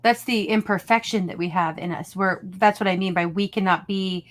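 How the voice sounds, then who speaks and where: A woman's voice: mid-range voice, steady tone. A woman co-hosting a casual late-night chat, talking in an earnest way. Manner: slow, earnest, laughs often. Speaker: co-host.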